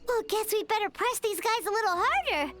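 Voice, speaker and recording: A tiny excitable companion creature in a video game. The voice is squeaky, childlike and extremely high, swinging about in pitch. Music is clearly heard behind it. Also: female voice, a little fast. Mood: pert, curious. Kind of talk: scripted video game voice acting.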